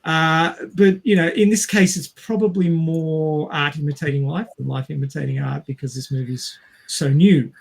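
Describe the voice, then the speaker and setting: A man with a fairly high-pitched voice co-hosts a casual movie discussion. He explains at length and wanders into tangents, often pausing to find the next word. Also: steady tone, gravelly. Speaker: podcast co-host.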